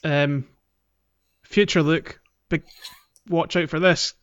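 A man's voice: fairly high pitch, steady tone, takes it slowly, fairly bright tone, clear, resonant tone, lo-fi sound. A man co-hosting a casual gaming podcast with a jokey manner. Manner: jokey, laughs often. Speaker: podcast co-host.

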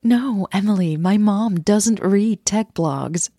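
A woman voicing a playful younger girlfriend in a romance audio drama, speaking softly with a teasing, reassuring manner. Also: medium pitch, slow, no echo, studio quality, pitched above the performer's own voice. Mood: amused, reassuring. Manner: soft, teasing, affectionate.

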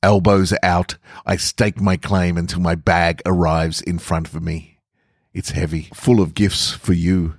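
A man's voice: low-pitched, flat delivery, unhurried, slightly rough, dry recording, studio quality. A man hosting a podcast and reading short fiction in an informal, playful manner. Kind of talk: casual intro and narrated short stories.

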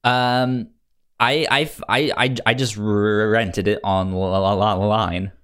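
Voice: medium pitch, steady tone, takes it slowly, fairly bright tone, smooth. A podcast co-host chatting casually about films, often stammering and repeating the first sounds of words. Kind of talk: casual film discussion chat.

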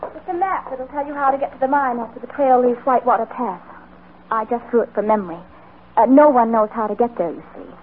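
Worried western homesteader's wife female actor, high earnest voice, pleading, on a noisy, muffled vintage radio recording. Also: fast talker, lightly acted. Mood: anxious. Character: worried, pleading frontier wife, adult woman. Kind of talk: old-time radio western acting.

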